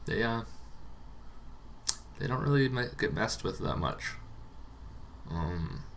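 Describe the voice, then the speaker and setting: A man hosting a tabletop roleplaying show, explaining casually and off the cuff in a rambling, halting way that repeats words. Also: lowish voice, expressive, creaky, a little hiss or hum. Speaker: host of a tabletop roleplaying monster lore show. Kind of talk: casual unscripted explainer to camera.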